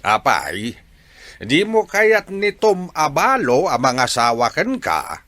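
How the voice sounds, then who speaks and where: A man playing an insistent older father in a Filipino radio drama, persuasive, with emphatic, drawn-out delivery. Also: a little high, wildly swooping pitch, a bit bright, no echo, heavily acted. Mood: coaxing. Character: insistent older father pushing his daughter to marry.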